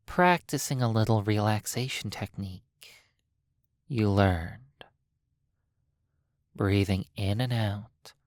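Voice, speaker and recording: Sleep hypnosis narrator, extremely slow pace, soothing delivery, long pauses, mid-range pitch, dry recording. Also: no room sound.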